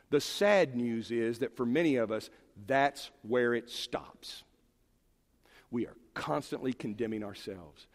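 A man preaching a sermon in an emphatic, animated way, swinging from forceful lines to hushed ones. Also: high voice. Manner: animated, emphatic preaching with sudden drops to quiet. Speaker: pastor. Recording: long hall reverb.

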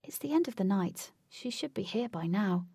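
A woman's voice: mid-range voice, animated, moderate pace, creaky, mellow, breathy edge, no room sound, studio quality, far from the mic. A woman voicing an anxious, stubborn young woman in a storybook, with an urgent, exclaiming manner. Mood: worried.

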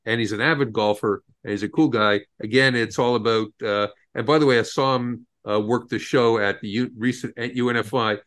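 A man's voice: mid-range voice, steady tone, fairly quick, hardly any pauses, fairly bright tone. A man hosting a podcast in a casual, enthusiastic way, often laughing and restarting his sentences. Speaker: podcast host.

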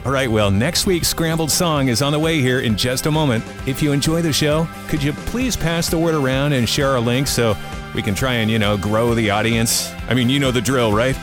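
A male host runs through segments of a radio-style podcast in a casual, chatty way. His voice sits at an ordinary mid pitch. A music bed plays clearly behind him. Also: a little fast.

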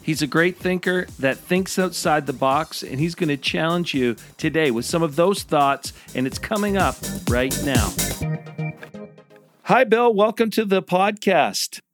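A man hosting a church podcast, upbeat in his intro and halting with fillers when he asks questions. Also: fairly high pitch, expressive, faint music behind. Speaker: podcast host. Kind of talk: scripted intro then casual interview.

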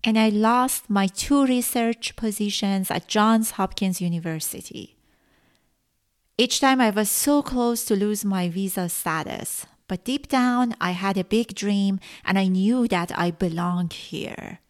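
A middle-aged woman, a native Farsi speaker from Iran, hosting her own podcast and telling her story earnestly with frequent pauses. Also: mid-range voice. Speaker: podcast host. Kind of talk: solo podcast monologue.

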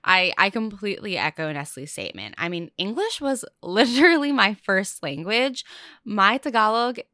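A woman's voice: medium pitch, sing-song, normal speed, sharp tone, no room sound, studio quality. A young American-born woman hosting a casual podcast chat, talking freely with many fillers. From United States. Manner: chatty, lots of fillers. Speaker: podcast host.

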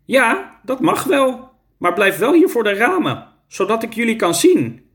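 A man's voice: extremely high pitch, wildly swooping pitch, unhurried, fairly bright tone, voice pushed up, full character voice. A man voicing a patient, matter-of-fact non-human teacher of young children in a storybook. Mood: patient, instructive.

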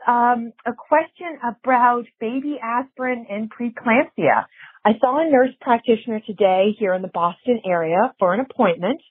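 A woman's voice: fairly high pitch, slightly rough. A woman in her forties leaving a polite, articulate question as a caller. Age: 40s. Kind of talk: recorded voicemail question. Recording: phone line.

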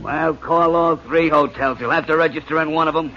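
An actor playing a brash, joking music publisher in a 1940s radio drama. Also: male voice, on the high side, steady tone, a little fast, natural voice. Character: fast-talking wisecracking music publisher, middle-aged man. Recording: dull lo-fi old radio recording.

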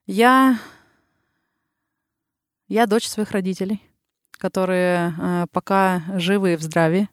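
Woman, 30s, Ural Russian accent; reflective podcast guest, lots of hesitations, smooth resonant voice, dry close sound. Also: mid-range voice, fairly even intonation, takes it slowly, pauses often, no echo. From Russia, the Urals. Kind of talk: casual interview talk.

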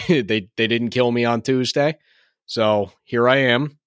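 A man hosting a solo podcast, his voice a little hoarse and raspy, talking in a rambling way with lots of fillers and restarts. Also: mid-range voice, takes it slowly, no echo, studio quality. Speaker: podcast host.

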